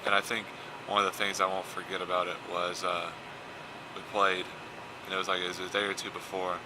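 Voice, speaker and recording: A young American man with a low, very monotone voice gives casual interview answers. He talks quickly, doubling back on words, with clear gym noise in the background. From Indiana. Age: 20s. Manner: repeats words, frequent "you know". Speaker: college basketball player. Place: basketball fieldhouse.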